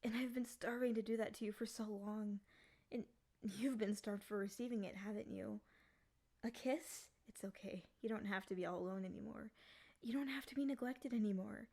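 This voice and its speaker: A woman playing a lonely, obsessively devoted demon in an intimate ASMR roleplay, speaking softly and often slipping into whispers. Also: mid-range voice, fairly even intonation, moderate pace, lightly acted. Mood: devoted, tender. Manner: soft, doting, often dropping into breathy whispers. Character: lonely, obsessively devoted female yandere demon, once sealed.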